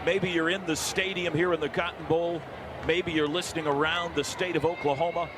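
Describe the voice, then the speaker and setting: A man's voice: fairly high pitch, steady tone, fairly quick. An American man calling a football game live on the radio as play-by-play announcer from a stadium booth. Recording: loud stadium crowd and booth noise.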